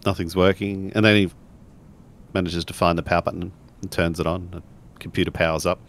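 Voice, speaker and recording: A man's voice: low-pitched, little pitch movement, brisk, a little hiss or hum. A man narrating and acting out his character in a tabletop role-playing game.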